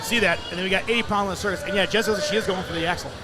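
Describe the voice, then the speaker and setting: A man's voice: high-pitched, fairly even intonation, very fast, over a noisy crowd. A man in his forties giving casual live sports commentary. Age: 40s.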